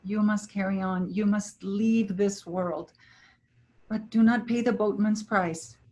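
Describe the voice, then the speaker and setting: A woman playing a tender, resolute lost beloved in a staged play reading, earnest, gentle and deliberate. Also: mid-range voice, steady tone, lightly acted.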